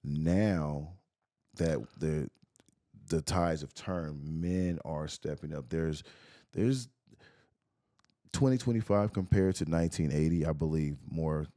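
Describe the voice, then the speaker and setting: A young man co-hosting a podcast in casual chat, relaxed and repeating phrases for emphasis. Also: rumbling low, slow pace, no room sound, studio quality. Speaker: podcast co-host.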